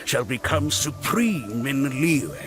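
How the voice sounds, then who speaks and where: An actor playing a pompous, stubborn male history scholar in a video game, lecturing grandly in a creaky voice. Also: medium pitch, big pitch swings, takes it slowly, over music. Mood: self-important. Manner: grandiose, lecturing, indignant when challenged. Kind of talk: video game character acting.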